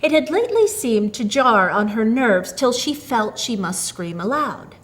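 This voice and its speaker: Female storyteller: expressive narration, very varied intonation, dramatic and deliberate phrasing. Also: a little high.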